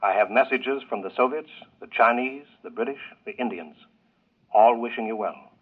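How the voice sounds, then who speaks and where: An actor playing a launch tower controller with clipped, official delivery, in a 1950s radio drama. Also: male voice, mid-range voice, moderate pace, narrow, tinny audio, lightly acted. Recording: husky telephone-thin radio sound.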